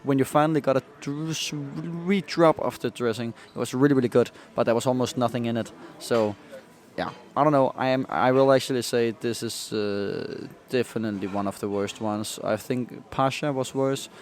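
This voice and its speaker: A young man co-hosts a casual food podcast and gives frank, critical reviews. His voice is middle-pitched and ordinary. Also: moderate pace. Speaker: podcast co-host. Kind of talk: casual chat.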